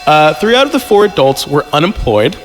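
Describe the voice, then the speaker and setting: A man's voice: fairly high pitch, very animated, brisk, long reverb tail, faint music behind. A man chatting and reading a story aloud casually as a podcast guest, joking and digressing into asides. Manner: joking, digressive, repeats himself.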